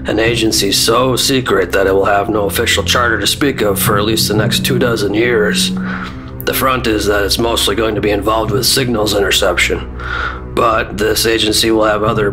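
A low-pitched man acting a commanding general in an old-style radio drama. He speaks in a clipped, brusque way, with music behind him. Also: close to an everyday voice. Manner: clipped, authoritative. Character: gruff, brusque commanding general, male, middle-aged or older.

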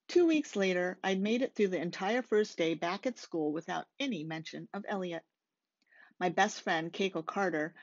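A middle-aged woman reading a first-person novel aloud in a measured way, recorded indoors on a webcam. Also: lowish voice. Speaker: author reading from own novel. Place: small room, indoors. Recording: slightly dull webcam audio.

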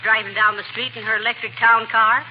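An actress playing a wry, long-suffering wife with a dry, teasing manner in 1940s radio comedy. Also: female voice, on the high side, flat delivery, a little fast, close to an everyday voice. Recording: muffled, noisy old radio recording.

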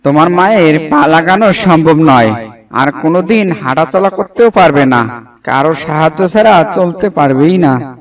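A man voices a grave cartoon doctor with a fairly high male voice. His delivery is blunt and matter-of-fact, a little away from the mic. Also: expressive, moderate pace, recorded from a distance, natural voice. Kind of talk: Bengali moral cartoon voice acting.

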